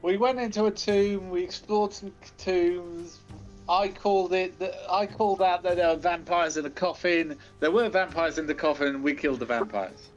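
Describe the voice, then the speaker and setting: Male player, blunt quick recap, a bit high, lo-fi far-off mic. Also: faint music behind.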